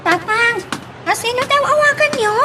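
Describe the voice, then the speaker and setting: A woman's voice: very high-pitched, expressive, normal speed, heavy background noise. A woman with an Ilocano Filipino accent playing a young, dutiful, soothing student daughter in an audio drama. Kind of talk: Ilocano radio-style drama acting.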